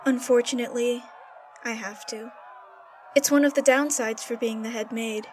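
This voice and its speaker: Lady playing kind castle head maid, audio drama, highish gentle voice, faint noise behind. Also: steady tone, moderate pace, a faint crowd, close to an everyday voice. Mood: gentle regret.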